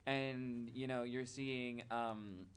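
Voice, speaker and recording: A man narrating a tabletop fantasy game as game master, halting as he improvises and drifting into casual asides. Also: mid-range voice, fairly even intonation, slight background noise.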